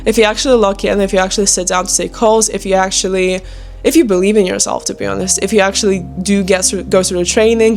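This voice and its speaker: A woman's voice: mid-range voice, a little mellow. A young woman with a Ukrainian accent chatting casually and motivationally to viewers, in an informal, emphatic, encouraging manner. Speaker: online video creator and sales closer. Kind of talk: casual motivational chat to camera.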